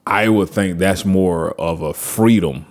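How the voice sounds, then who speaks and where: A man's voice: sits low, slow. An American man hosting a casual interview, enthusiastic and full of fillers. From United States. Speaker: interview host.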